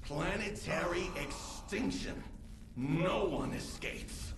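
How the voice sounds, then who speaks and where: A man playing an excitable robot engineer in a video game, urgent and melodramatic with a gravelly voice. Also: sits high, slow, slight background noise, full character voice. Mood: grim. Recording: voice under loud music.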